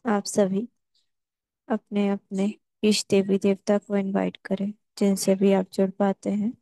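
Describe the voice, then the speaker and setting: Mellow, even-toned woman guiding meditation. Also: medium pitch, unhurried, dry recording, rough recording. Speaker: guided meditation instructor. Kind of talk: guided meditation instructions.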